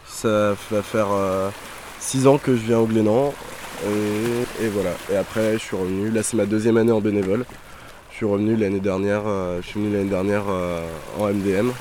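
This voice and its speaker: A young man, nineteen, a volunteer barman, speaking haltingly and repeating himself in an interview. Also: fairly low pitch, fairly even intonation, darkish tone, a little hiss or hum. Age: young adult. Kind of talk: casual interview.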